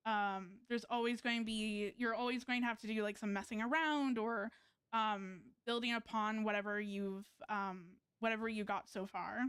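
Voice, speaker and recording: A woman's voice: medium pitch, fairly even intonation, moderate pace, fairly bright tone, smooth, no room sound, studio quality. A woman live streamer chatting casually to viewers while she plays a game, hesitant and thinking aloud as she goes.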